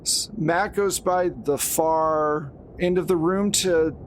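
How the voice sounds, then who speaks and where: A man's voice: on the high side, fairly even intonation, slow, slight background noise, low-quality recording. A man narrating a horror tabletop game as game master, in a casual, conversational storytelling way.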